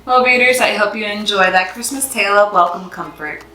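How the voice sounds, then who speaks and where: A woman reads a children's story with expressive, animated delivery and clear emphasis. Her voice sits at a medium pitch in a roomy, echoing space, with music playing behind her. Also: brisk. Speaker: host of a children's read-aloud series.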